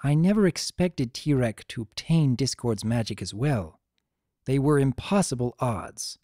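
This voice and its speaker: A narrator voicing a regal, mature female pony ruler, calm, formal and firm. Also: mid-range voice, a little slow, no echo, clean studio sound. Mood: firm, grave.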